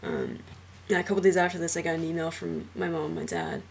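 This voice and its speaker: A young transgender man in a casual personal vlog, talking hesitantly with many fillers and restarts, his trained low voice deepened further by a cold. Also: wildly swooping pitch, fast talker, gravelly, a little hiss or hum. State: voice lowered by illness.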